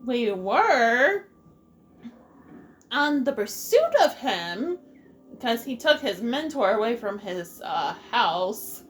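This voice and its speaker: A woman streams a game with casual, off-the-cuff commentary. Her voice sits high and swings widely, at an unhurried pace. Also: sing-song. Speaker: let's play streamer.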